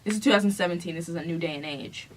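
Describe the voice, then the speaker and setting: A woman's voice: fairly low pitch, very fast, crowd noise. A young woman in casual storytime chat, teasing and playfully dramatic as she retells things. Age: young adult. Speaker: vlogger telling a couple story.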